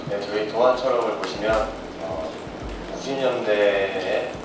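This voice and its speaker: A man's voice: fairly low pitch, unhurried, noisy background. A man in his thirties at a press event, speaking measuredly with many fillers into a handheld mic. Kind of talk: press interview answers. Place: indoor press conference hall. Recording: echoey hall from far off.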